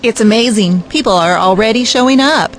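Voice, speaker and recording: A woman's voice: a little high, fairly quick, lightly acted. A woman playing an eager, confident designer in an audio drama, keen and assured. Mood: excited, confident.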